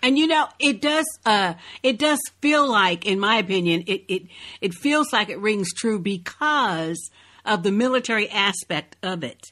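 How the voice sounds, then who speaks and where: Bright, animated woman co-hosting an interview. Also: medium pitch, moderate pace. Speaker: co-host interviewer. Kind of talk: casual conversational podcast interview.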